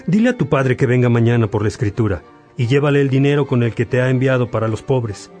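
A man acting as a kindly, wise bishop and judge in a radio drama, speaking in a measured, paternal, pastoral way. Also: medium pitch, lively intonation, a little mellow, lightly acted. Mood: firm, fatherly. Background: music.

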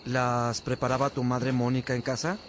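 A man's voice: mid-range voice, level tone, moderate pace, natural voice. A man playing an attentive, admiring friar in a radio drama, deferential and eager to please. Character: attentive, admiring friar who cooks for brothers. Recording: clear background ambience noise.

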